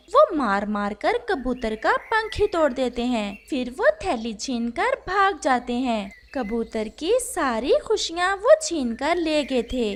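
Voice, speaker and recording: A woman reads a scripted children's story as narrator. Her voice is high and animated, rising and falling widely through each sentence. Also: slight background noise.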